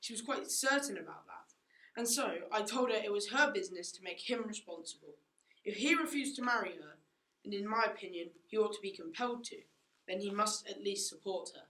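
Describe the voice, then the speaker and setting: A woman's voice: mid-range voice, expressive, brisk, bright, forceful tone. A teenage girl playing a haughty, self-righteous upper-class mother in a school radio play, with a dismissive, indignant manner. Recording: echoey room.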